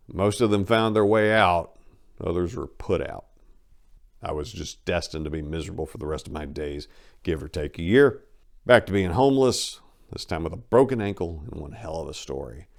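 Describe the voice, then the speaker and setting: Male storyteller, low-pitched, for dry, wry first-person narration with a casual, conversational host feel. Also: a little mellow. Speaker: host reading an autobiography aloud. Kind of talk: dramatic first-person audiobook reading with casual asides.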